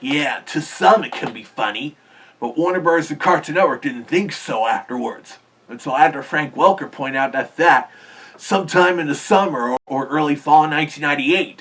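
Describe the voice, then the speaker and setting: A man's voice: a little high, fairly bright tone, husky, recorded from a distance. A man hosting a cartoon history video, earnestly explaining in scripted narration.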